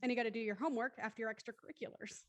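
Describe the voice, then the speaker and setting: A woman's voice: fairly high pitch, steady tone, racing, dry recording. Casual woman in a close, dry recording. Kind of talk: reflective panel discussion, casual.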